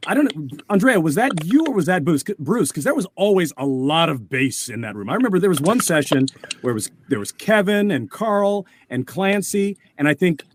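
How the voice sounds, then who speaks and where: High-voiced man reminiscing, faint noise. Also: very animated, a little fast. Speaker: panel guest. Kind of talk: casual reminiscing chat.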